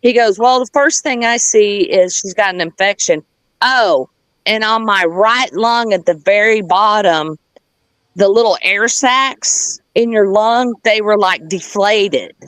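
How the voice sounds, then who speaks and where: A woman's voice: medium pitch, measured pace, fairly bright tone, no echo. A woman chatting casually and telling a personal story, candid and sweary, wandering into side details and quoting people as she goes. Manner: candid, profane, rambling with digressions; acts out quoted dialogue.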